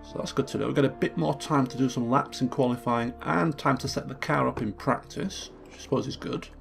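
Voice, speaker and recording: A man hosting a casual gameplay commentary, thinking aloud and correcting himself as he goes, his voice a little croaky from just waking up. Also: mid-range voice, brisk, faint music behind. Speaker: let's play host.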